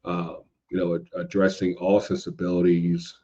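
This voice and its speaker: A man in a casual podcast discussion, speaking haltingly and circling back over his points as a guest commentator. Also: deep voice, completely flat delivery, dark tone. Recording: dull, lo-fi voice track.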